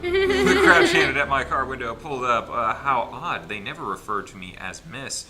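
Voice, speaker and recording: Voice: medium pitch, big pitch swings, moderate pace, low-quality recording. Playful narrator reading aloud over music. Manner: playful, dramatic reading with stumbles and wry asides. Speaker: narrator reading a visual novel aloud in a group playthrough. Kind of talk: casual gaming let's play, reading story text aloud with asides. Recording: music playing behind the voice.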